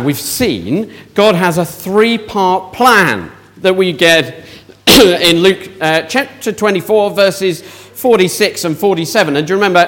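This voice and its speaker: A man's voice: a little high, fairly bright tone. A man leading an interactive children's talk in church, asking the audience questions.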